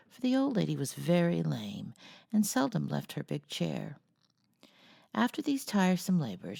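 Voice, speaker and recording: A woman narrates a classic novel in a low voice full of heavy vocal fry. Her tone is soft and mellow, and her pitch moves in lively swings as she reads in a measured, cozy storybook style. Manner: measured, cozy read-aloud delivery. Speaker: storyteller, host of a read-aloud series.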